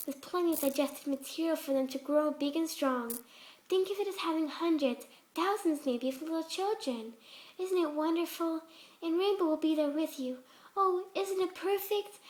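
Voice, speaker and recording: A woman voicing a sweet, soft-spoken but twisted female pegasus pony surgeon, gentle and cooing in a story reading. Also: sits high, pitched above the performer's own voice. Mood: soothing, then delighted. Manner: gentle, cooing, serenely unhinged.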